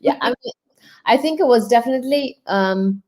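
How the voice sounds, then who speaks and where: A woman's voice: a little high, lively intonation, normal speed, a little mellow. A woman raised in the Netherlands, speaking as an interview guest on a video call, earnest and reflective, laughing easily as she tells her story.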